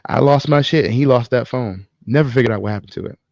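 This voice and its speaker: Man, creaky voice, animated chat, slangy and profane, close dry mic, dull recording. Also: mid-range voice, quick pace, no room sound. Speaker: podcast co-host. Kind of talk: casual chat and storytelling.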